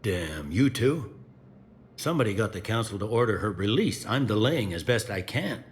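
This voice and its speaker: An actor playing a gruff police commander in a sci-fi game, blunt and wry. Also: male voice, fairly low pitch, sing-song, moderate pace. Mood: exasperated. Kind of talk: video game dialogue acting. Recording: faint background noise and ambience.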